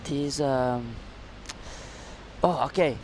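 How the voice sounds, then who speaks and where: A man co-commentating a surf contest live, speaking relaxed, halting English with fillers. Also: medium pitch. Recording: outdoor ambient noise, passing vehicles.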